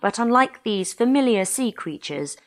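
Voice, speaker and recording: A woman's voice: fairly high pitch, expressive, moderate pace, natural voice. An actress playing a woman who reads aloud from a reference text in an audio drama. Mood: absorbed.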